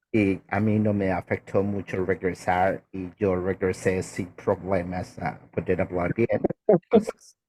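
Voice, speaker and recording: A man's voice: low voice, a little mellow, poor audio. A man with a Mexico City accent, telling personal anecdotes in a chatty way on a discussion panel. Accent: Mexico City Spanish. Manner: storytelling, chatty, repeats words. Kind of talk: anecdotal casual chat. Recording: faint room noise.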